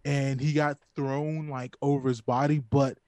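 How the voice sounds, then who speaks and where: A man's voice: mid-range voice, level tone, a little slow, a little mellow, no room sound. A man co-hosting a podcast, speaking bluntly and emphatically with plenty of swearing in a casual chat. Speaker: podcast co-host.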